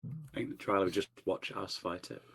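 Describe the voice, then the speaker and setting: A man playing a tabletop game, explaining his character's thoughts in a thoughtful, halting way. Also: low voice, vocal fry, husky. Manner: flat, thoughtful, slightly halting. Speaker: player. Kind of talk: casual tabletop play.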